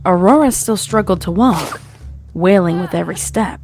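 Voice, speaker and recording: Low-pitched woman narrating a dramatic story. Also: normal speed, a little mellow. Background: faint music.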